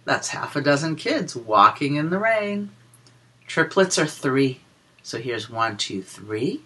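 A woman reading a picture book aloud to young children in a sing-song, deliberate way, explaining and counting as she goes. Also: low voice, very animated, a bit bright, husky. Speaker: children's story reader and teacher.

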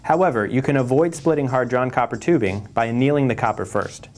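A man's voice: mid-range voice, fairly quick, darkish tone. A man presenting for a tool company, reading scripted step-by-step instructional narration. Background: music behind the voice.

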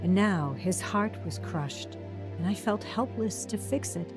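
A woman's voice: medium pitch, animated, measured pace, natural voice. A young woman acting the lead in a radio drama and narrating her own story in first person, earnest and emotional. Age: young adult. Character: young wife and new mother, worn down by a hard marriage. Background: music under narration.

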